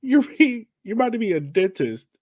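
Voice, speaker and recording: American man as podcast host, fairly high voice with lively swoops, jokey and teasing, casual delivery. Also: moderate pace, no room sound, studio quality. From Virginia, United States. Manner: jokey, teasing, laughs readily. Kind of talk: casual interview chat.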